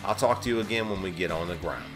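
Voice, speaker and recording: A man's voice: sits low, fast. A man reading scripted travel vlog narration as a voice-over. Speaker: vlogger narrator. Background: plucked guitar music.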